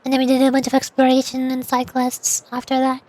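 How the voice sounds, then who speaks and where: A woman's voice: high voice, completely flat delivery, a little fast, a little mellow, no room sound. A woman livestreamer chatting casually over a game in a playful, theatrical way. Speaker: vtuber streamer.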